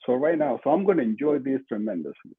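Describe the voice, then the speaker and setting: A man's voice: mid-range voice, animated, fast talker, crowd noise. An older man from Peru with a Peruvian Spanish accent, talking conversationally as an interview guest with emphatic repetition, over a video call. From Lima, Peru. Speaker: podcast guest, marketing entrepreneur. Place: small room at home, indoors. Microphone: video call, computer mic at desk distance. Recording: muffled, lo-fi video call audio.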